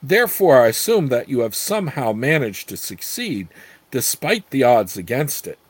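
A man's voice: mid-range voice, sing-song, normal speed, slightly rough, no echo. A man reading aloud the lines of a stern, gray-bearded old male count, dry and commanding.

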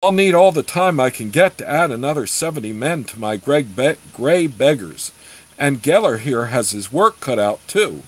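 A man's voice: mid-range voice, very animated, normal speed. A man voicing a persuasive, chummy rogue who leads a band, in a storybook reading. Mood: reassuring. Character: smooth-talking, persuasive male rogue leader of beggar band.

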